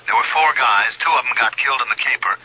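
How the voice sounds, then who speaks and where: A man plays a pragmatic, persuasive TV station colleague in a 1980s radio drama. His voice is husky and medium in pitch, with clipped, informal phrasing. The old recording is dull. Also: fast talker, natural voice. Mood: businesslike. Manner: clipped, informal, matter-of-fact.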